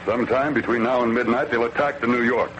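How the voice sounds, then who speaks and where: An American actor playing a gruff, formal older navy admiral with a commanding manner in an old-time radio drama. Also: male voice, medium pitch, fairly even intonation, fast, a bit bright, lightly acted. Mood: skeptical. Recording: muffled, noisy old radio recording.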